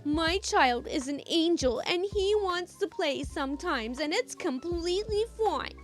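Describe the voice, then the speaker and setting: A woman voicing an indignant, haughty mother from a read-aloud story, drawn-out and self-righteous, in a mixed put-on Midwest and Brooklyn American accent. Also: extremely high pitch, animated, soft background music, pitched above the performer's own voice.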